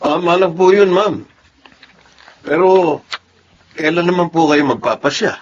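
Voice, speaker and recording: A man's voice: on the high side, unhurried, lo-fi sound, slight background noise, natural voice. A man playing a cocky, ruthless and ambitious employee in a Tagalog drama. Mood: eager. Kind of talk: Tagalog radio-style drama acting.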